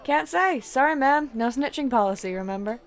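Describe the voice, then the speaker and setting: Kiz, a woman playing a brash young prankster. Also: brisk. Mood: defiant. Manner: loud, excitable and slangy, with sudden jumps in pitch. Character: brash young female prankster muse, cheeky and chaotic. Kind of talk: fantasy audio roleplay acting.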